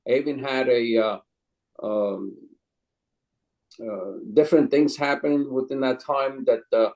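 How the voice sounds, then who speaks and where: A man in his sixties from Calabria, Italy, speaking English with an Italian accent as an interview guest, telling his story in a hesitant, rambling way with many pauses and some non-native phrasing. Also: medium pitch, darkish tone, low-quality recording. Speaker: guest, chef and caterer.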